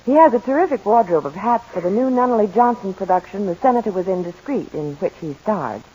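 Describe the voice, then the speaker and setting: A woman chatting brightly in a scripted radio intermission. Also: mid-range voice, animated, fast talker, breathy edge. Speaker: intermission guest. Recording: lo-fi 1940s radio broadcast.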